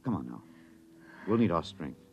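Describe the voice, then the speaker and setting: An actor playing a tense, hunted husband on the run in a 1950s radio drama, urgent and protective. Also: male voice, low voice, sing-song, normal speed, creaky, lo-fi sound, heavily acted. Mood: bitter, wary. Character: tense, hunted husband, a fugitive time traveler.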